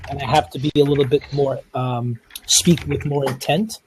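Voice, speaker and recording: A man's voice: mid-range voice, steady tone, normal speed, a little hiss or hum, poor audio. A man with a New York accent, hosting a casual panel discussion and often restarting his sentences.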